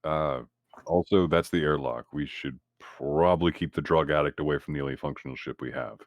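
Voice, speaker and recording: A man's voice: a bass voice, a little fast, no echo. A man playing a tabletop game, talking casually and wryly with the other players.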